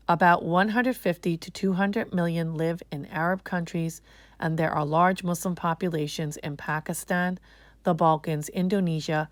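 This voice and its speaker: A woman's voice: lowish voice, no echo. A woman reading a children's storybook aloud in a scripted narration style. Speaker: storybook reader.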